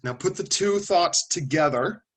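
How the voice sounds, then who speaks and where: A man's voice: on the high side, expressive, unhurried. A man lecturing a classroom of students, calling on them by name and thinking aloud as he explains. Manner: conversational, thinking aloud with restarts and asides.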